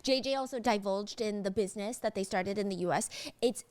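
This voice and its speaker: A woman tells a story in a chatty, animated voice, dropping sarcastic asides along the way. She sits close to the mic in a dry, dead room with no echo. Her pitch sits in a middle range. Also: moderate pace. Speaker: true crime storyteller. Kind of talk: storytelling podcast narration.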